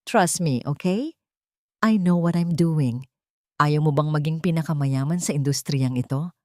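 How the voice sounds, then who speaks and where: Audio drama villainess read by a woman: pushy, impatient businesswoman, belittling, insistent, low register. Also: a little slow, darkish tone, dry recording, studio quality.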